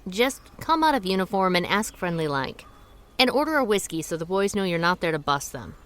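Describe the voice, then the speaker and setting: Woman actor as a quick-witted radio-play sleuth who runs a restaurant: wry, curious, with plenty of melody in her delivery. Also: lowish voice, sing-song, a bit bright, a little hiss or hum. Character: shrewd woman restaurant owner, amateur sleuth. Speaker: restaurant owner. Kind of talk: radio drama acting.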